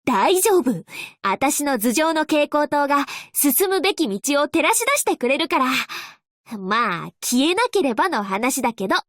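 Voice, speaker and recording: A woman playing an upbeat, playful young angel courier girl in a Japanese anime game, with a perky, teasing, expressive delivery. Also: high-pitched, moderate pace, no room sound, clean studio sound. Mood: playful, confident.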